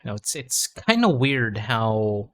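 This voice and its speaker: A man tutoring English in a casual conversation lesson, relaxed and encouraging. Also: on the low side, a little slow. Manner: relaxed and encouraging, many "you know"s.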